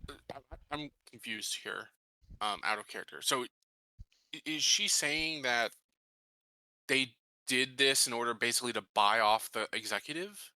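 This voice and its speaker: A man playing a tabletop game, talking casually and haltingly as he lays things out and seeks clarification. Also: medium pitch, sharp tone, dry recording. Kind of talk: casual game-table talk with in-character questioning.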